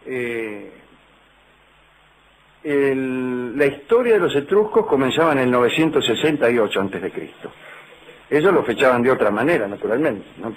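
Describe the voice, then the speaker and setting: A man's voice: mid-range voice, a little hiss or hum, low-quality recording. Wry Rioplatense man lecturing on radio. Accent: Rioplatense Spanish. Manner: wry, digressive storyteller with dry asides. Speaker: radio host.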